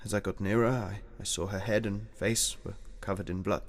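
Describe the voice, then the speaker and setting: An actor in a radio play playing a haunted, widowed general, speaking formally and haltingly. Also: male voice, lowish voice, steady tone, lightly acted. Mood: grave, halting. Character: grieving, haunted Edwardian general, gentlemanly widower.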